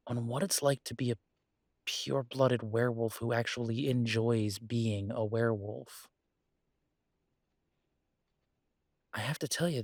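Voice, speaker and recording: A man playing a conflicted, protective werewolf pack leader in an intimate audio roleplay, speaking hesitantly and reflectively with halting pauses. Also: mid-range voice, dry recording, clean studio sound. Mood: torn, earnest.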